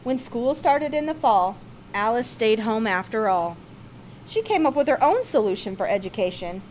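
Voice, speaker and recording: Female storybook reader, higher-pitched and expressive, with light background noise. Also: moderate pace. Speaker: storyteller reading a picture book aloud. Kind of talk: read-aloud children's storybook narration.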